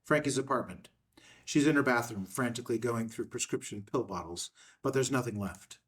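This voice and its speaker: A man's voice: medium pitch, normal speed, a bit bright. Distant-miked man reading screenplay directions. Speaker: narrator reading stage directions. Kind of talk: scripted screenplay narration.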